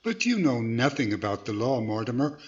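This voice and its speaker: A man playing a patient, gently chiding straight man with a polite, probing manner, in 1940s radio comedy style. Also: mid-range voice, big pitch swings, normal speed, lo-fi sound, natural voice. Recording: noticeable background noise.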